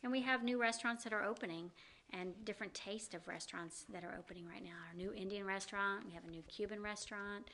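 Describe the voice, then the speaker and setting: Bright-voiced woman with a Southern accent. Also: mid-range voice, fast. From American South. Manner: many ums and you-knows, earnest. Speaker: executive director of a downtown organization. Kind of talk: interview guest.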